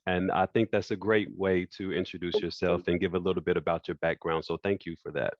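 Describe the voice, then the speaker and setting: A man born in France, with French as his first language, moderating an online panel over a video call and talking in a halting way that repeats phrases. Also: low voice, little pitch movement, no echo. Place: indoor room by a wall. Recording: dull lo-fi video call audio.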